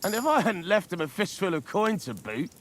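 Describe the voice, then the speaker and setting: High-voiced, needling medieval soldier. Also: male voice, big pitch swings, fairly quick, a bit bright, slight background noise. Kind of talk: video game cutscene acting.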